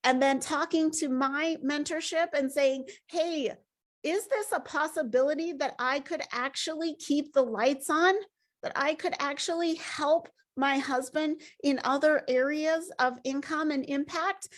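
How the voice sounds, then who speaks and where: A woman's voice: high voice, unhurried. A woman hosting a webinar, upbeat and encouraging as she presents. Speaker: host.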